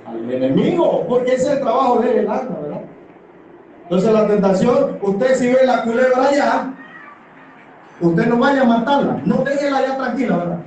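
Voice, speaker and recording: A man's voice: very high-pitched, lively intonation, normal speed, darkish tone. A middle-aged man with a Panamanian accent preaching an impassioned, conversational sermon full of rhetorical tag questions. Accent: Panamanian Spanish. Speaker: church elder preaching. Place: small church hall full of congregants, indoors. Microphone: distant from camera, echoing through the room. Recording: echoey hall from far off, background noise.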